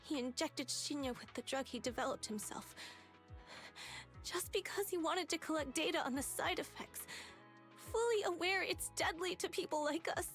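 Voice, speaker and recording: Game role: frail, selfless girl, high voice, grave halting delivery, soft music behind. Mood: bitter. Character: sickly, resigned, selfless young girl.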